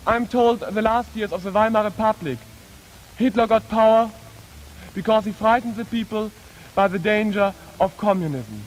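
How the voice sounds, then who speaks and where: A young man from Germany with a German accent, an exchange student giving an earnest, deliberate formal speech. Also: high-pitched, poor audio. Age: young adult. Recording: 1950s archive with faint noise.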